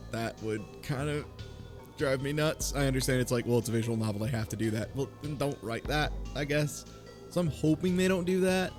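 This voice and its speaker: A man in a casual group discussion, giving a frank, opinionated view. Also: mid-range voice. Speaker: discussion panelist.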